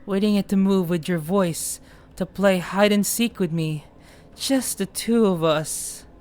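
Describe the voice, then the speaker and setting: A woman voicing a creepy, obsessively loving younger brother, speaking slowly in a courtly, ominously affectionate way over music. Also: mid-range voice, a little mellow, lightly acted. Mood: eerily tender.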